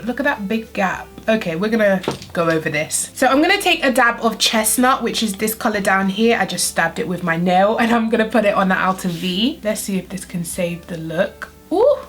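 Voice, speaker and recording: A young adult woman vlogger talking through a makeup tutorial, her nose blocked from a cold, chatty and full of "like". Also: medium pitch. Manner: chatty, uses "like" often. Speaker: beauty vlogger.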